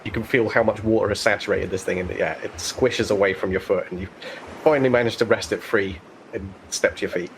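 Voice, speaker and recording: A man as game master, explaining rules and narrating conversationally, pausing to think aloud. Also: lowish voice, creaky, slight background noise, rough recording.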